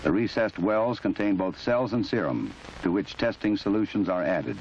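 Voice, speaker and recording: Male narrator, low register, measured and exact instructional read, dated film audio with faint background noise. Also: animated, a little mellow. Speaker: narrator of a forensic training film. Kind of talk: formal scripted documentary narration. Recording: muffled, noisy old 1970s film soundtrack.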